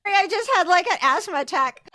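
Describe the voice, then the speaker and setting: A woman's voice: very high voice, expressive, normal speed, bright, forceful tone, no echo. A middle-aged American woman co-hosting a podcast in casual, conversational talk. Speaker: podcast co-host. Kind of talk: casual chat.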